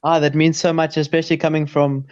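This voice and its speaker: A teenage South African guy with a slightly high voice, answering as a podcast guest. He jokes in a deadpan, self-mocking way and keeps his delivery casual and dry. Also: quick pace, lo-fi sound. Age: teens.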